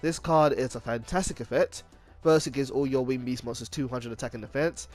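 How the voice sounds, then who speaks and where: A man's voice: medium pitch, darkish tone. A man hosting an unscripted card game video, explaining things informally and often correcting himself as he goes. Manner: halting, self-correcting, informal. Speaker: video game deck profile host. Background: music.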